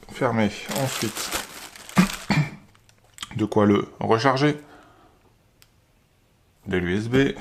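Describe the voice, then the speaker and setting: A man's voice: on the low side, gravelly, noisy background. A man giving a casual, unscripted product unboxing, informally thinking aloud as he handles the item.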